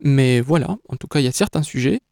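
A man's voice: mid-range voice, lively intonation, fast talker, gravelly, mellow, no echo, clean studio sound. A man whose first language is Kabyle, an exhibition creator giving a radio interview, speaking hesitantly with many fillers and restarts. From North Africa (Kabyle).

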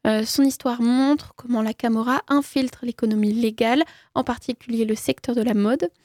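A woman presenting a film summary from prepared notes, often pausing on hesitant fillers between sentences. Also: on the high side, steady tone, a little mellow, dry recording, clean studio sound.

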